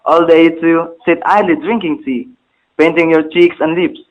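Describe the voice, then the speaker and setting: Man acting an anxious father, high-pitched, echoey. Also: fairly even intonation, moderate pace, close to an everyday voice. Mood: scolding. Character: anxious, stern father, a master bell-maker, dutiful. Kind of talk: amateur stage-play dialogue, formal archaic English. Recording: muffled, phone-like, echoey room.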